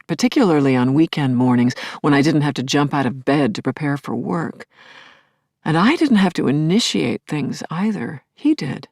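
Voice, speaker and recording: An actress playing a tender, yearning middle-aged widow in an audio play, with a husky, breathy, confiding delivery that often drops toward a whisper. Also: female voice, low voice, darkish tone, no echo, clean studio sound, full character voice. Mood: tender, wistful. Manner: confiding, halting, emotionally charged. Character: grieving middle-aged widow recalling her returned dead husband, tender, yearning. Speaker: lead actor in an audio play. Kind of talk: intimate first-person audio drama monologue.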